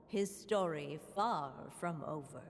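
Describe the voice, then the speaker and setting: A woman playing a solemn storybook narrator in a video game, telling a fairy tale in a measured way. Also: low-pitched. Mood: solemn, suspenseful. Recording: long reverb with music.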